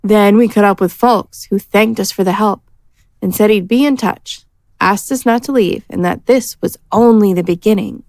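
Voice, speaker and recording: A woman's voice: medium pitch, moderate pace, dry recording, close to an everyday voice. A woman playing an eager, chatty adventurer in a fantasy audio drama, telling things breezily. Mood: excited. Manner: excited, breezy storytelling.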